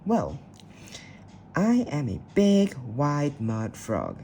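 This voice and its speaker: A man voicing a brash, boastful male frog in a children's storybook, shouting his lines. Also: mid-range voice, big pitch swings, slow, vocal fry, slight background noise, full character voice. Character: loud boastful big-mouthed male frog.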